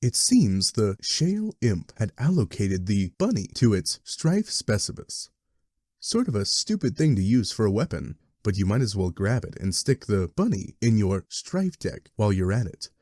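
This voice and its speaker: A man's voice: lowish voice, big pitch swings, normal speed, a little mellow, dry recording. A man reading scripted second-person webcomic narration with wry, dry asides. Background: music.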